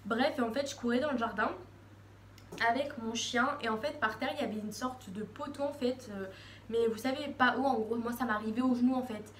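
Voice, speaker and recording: Une adolescente qui parle face à sa caméra, bavarde, hésitante, avec beaucoup de « euh » et de reprises. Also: female voice, fairly high pitch, a little fast, fairly bright tone. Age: teens. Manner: chatty, rambling, many fillers and restarts. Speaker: vlogger. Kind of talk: casual talk to camera. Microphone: phone camera. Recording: echoey room, faint background noise.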